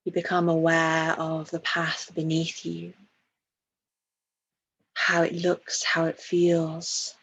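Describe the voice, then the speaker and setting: A woman leading a guided meditation in a soft, breathy voice, soothing and hushed, with long pauses between phrases. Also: low voice, monotone, poor audio.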